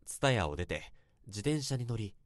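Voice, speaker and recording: A man plays an earnest young male office worker in a radio drama, confessing an old infatuation. His low voice moves expressively through polite, literary narration. It is recorded close and dry. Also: sing-song, normal speed, dry recording. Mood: earnest, nervous. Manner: polite, formal, literary storytelling.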